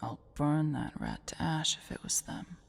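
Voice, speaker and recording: A woman's voice: deep voice, huge pitch swings, measured pace, exaggerated voice. A woman voicing a haughty female dragon brooding over her hoard in an ASMR roleplay, half-whispering in an imperious mutter. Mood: disdainful, brooding. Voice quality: breathy, half-whispered, creaky.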